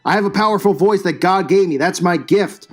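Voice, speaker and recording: High-voiced man ranting scornfully. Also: fairly quick, soft background music. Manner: combative, scornful, preachy. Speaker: channel host and commentator. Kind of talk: religious commentary rant over news clips.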